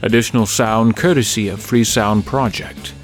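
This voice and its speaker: A man reading the credits of a radio drama in a measured, formal way. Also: on the low side, steady tone, faint music behind. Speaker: credits announcer. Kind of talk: scripted narration.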